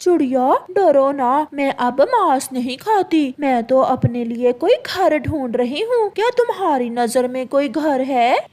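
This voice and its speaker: A woman's voice: sits high, animated, measured pace, hardly any pauses, darkish tone. A woman voicing a confident, blunt female eagle in a cartoon, with an assertive manner. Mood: friendly. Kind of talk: Hindi cartoon dialogue.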